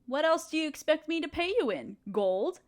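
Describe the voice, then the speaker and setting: A woman's voice: sits high, lively intonation, normal speed. A woman voicing a ruthless, scheming evil queen in an audio roleplay, speaking in a condescending, sardonic, commanding way. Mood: dismissive. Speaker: voice actor.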